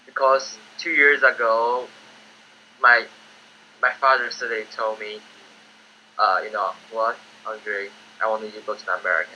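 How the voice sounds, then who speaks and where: A teenage boy from China, speaking his second language, who gives hesitant interview answers in simple, halting English. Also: lowish voice, little pitch movement, sharp tone, lo-fi sound, slight background noise. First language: Chinese. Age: teens. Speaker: student applicant.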